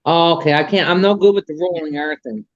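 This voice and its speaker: A woman's voice: lowish voice, sing-song, fast, creaky, highs cut off. A woman chatting casually, reacting in short remarks to the others in a group conversation.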